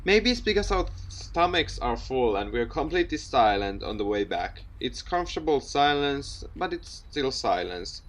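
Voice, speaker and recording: Animated man reading a game aloud. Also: mid-range voice, moderate pace, few pauses. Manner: stumbles over words while reading and repeats them. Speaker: gaming video host. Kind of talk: let's play, reading visual novel text aloud with commentary.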